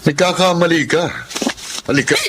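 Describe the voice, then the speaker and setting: An actor playing a possessive, jealous suitor with a taunting, insistent delivery in a Tagalog radio drama. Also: male voice, fairly high pitch, fairly even intonation, moderate pace, background noise, close to an everyday voice. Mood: taunting, aggressive. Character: possessive, jealous, mocking male suitor who bullies and pleads.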